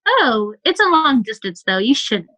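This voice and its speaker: Woman playing a high, lively, indulgent mother. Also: moderate pace, heavily acted. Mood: friendly, chatty. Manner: polite, indulgent. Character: pleasant, indulgent suburban mother and housewife. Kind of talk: radio play acting.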